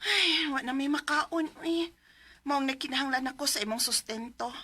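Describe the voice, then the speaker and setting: A bitter Cebuano wife. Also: female voice, high-pitched, moderate pace. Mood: cold. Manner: sharp and confrontational. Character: estranged wife, bitter and demanding. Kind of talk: 1990s radio drama acting.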